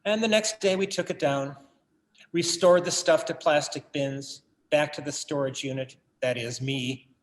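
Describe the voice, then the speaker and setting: Guy playing disillusioned collector in a play reading, kinda high voice, wry earnest monologue style. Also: fairly even intonation, unhurried, lightly acted. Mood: wistful. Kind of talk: theatrical monologue in a staged reading.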